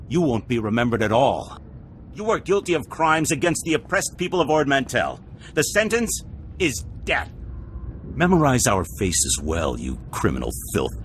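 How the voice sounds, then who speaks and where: An actor playing a self-righteous, theatrical rebel leader in a video game, with a pompous, declamatory manner. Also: male voice, mid-range voice, a little hiss or hum, natural voice.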